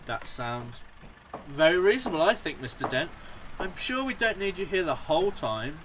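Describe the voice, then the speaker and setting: A man's voice: a little high, very animated, moderate pace, a bit bright. A man acting a hesitant, deferential, easily persuaded foreman in a radio play. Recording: noisy outdoor ambience with vehicle sounds.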